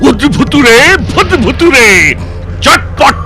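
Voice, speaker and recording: Fierce, slow-chanting cartoon exorcist. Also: male voice, very high voice, animated, over music, exaggerated voice. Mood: fierce, boastful. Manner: theatrical incantations in rhyming nonsense words. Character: fierce male village exorcist chanting spells. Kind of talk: Bengali children's cartoon acting.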